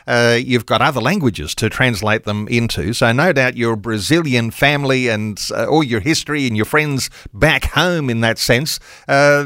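Male radio host, mid pitch, dry close studio sound, chatty and upbeat. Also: a bit bright, no room sound. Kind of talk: radio interview and announcements.

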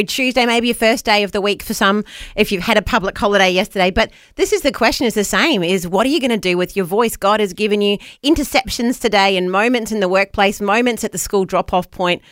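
A woman's voice: medium pitch, quick pace, a bit bright, no room sound. Aussie lady cohosting morning radio, bubbly, laughs a lot, dry close studio mic. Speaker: radio show co-host. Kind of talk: casual breakfast radio chat. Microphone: studio microphone.

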